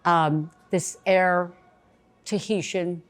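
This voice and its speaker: A woman's voice: lowish voice, fairly even intonation, drawn-out words, soft background music. A woman travel agent hosting a live event, chatty and bubbly, with a big cheerleader voice. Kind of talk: casual event presentation. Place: bistro event space.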